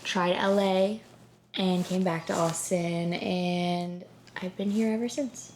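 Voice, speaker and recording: A woman's voice: lowish voice, steady tone, slow, darkish tone, slight background noise. A young woman from Houston, co-hosting a casual podcast chat, talking candidly and swearing now and then. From Houston, Texas. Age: young adult. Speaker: podcast co-host.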